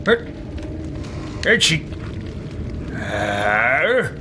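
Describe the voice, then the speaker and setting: A man playing a pompous, menacing evil wizard in a video game roleplay, imperious and halting. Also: medium pitch, big pitch swings, noisy background. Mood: grudging, imperious. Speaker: let's-play host.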